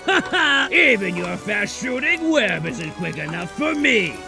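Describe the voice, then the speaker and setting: Comic dub villain: arrogant mad scientist, very high gravelly voice, theatrical menace, music behind. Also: male voice, very animated, measured pace, full character voice. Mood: gloating, menacing. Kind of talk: comic book dub acting.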